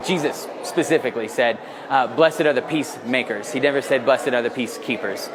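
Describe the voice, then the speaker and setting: A man's voice: medium pitch, fairly quick. Earnest young man over outdoor noise. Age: young adult. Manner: earnest, with fillers like "like" and "uh". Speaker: conference attendee. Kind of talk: interview answer.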